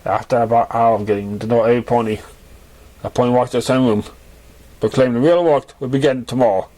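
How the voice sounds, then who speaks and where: A man's voice: medium pitch, steady tone, fast, dark tone. A man reading a fan fiction story aloud as an amateur narrator, stumbling over words and rereading lines. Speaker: amateur audiobook reader.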